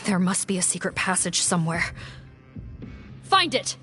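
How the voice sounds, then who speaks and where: A woman's voice: on the low side, sing-song, normal speed. A woman voicing a stern, commanding guard captain in a video game, speaking with authority. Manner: authoritative, forceful orders. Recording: game music behind the voice.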